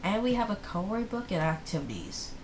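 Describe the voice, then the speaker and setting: A woman hosting a read-aloud, reading slowly for young children in the manner of a picture book. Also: medium pitch, big pitch swings, a little hiss or hum.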